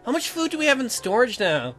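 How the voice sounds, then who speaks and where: A man plays a young newlywed woman, a colony mechanic in a video game. She is earnest and inquisitive, with a high, animated voice. Music runs underneath. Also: quick pace, full character voice. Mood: eager, curious.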